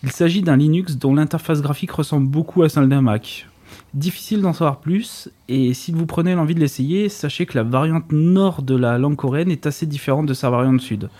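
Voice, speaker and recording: A man's voice: a little high, brisk, mellow. A man reading a prepared tech segment on a radio show, dropping dry, ironic asides.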